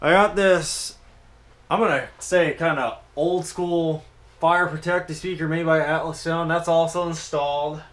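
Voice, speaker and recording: A man's voice: fairly high pitch, fairly even intonation, measured pace, a bit bright. A young man hosting a casual hobby show-and-tell, rambling informally as he addresses his viewers. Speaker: hobbyist video host.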